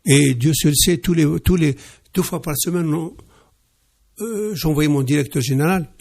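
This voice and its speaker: A man's voice: fairly high pitch, normal speed, darkish tone. A man being interviewed on radio about his car dealership, hesitant and emphatic, often repeating words.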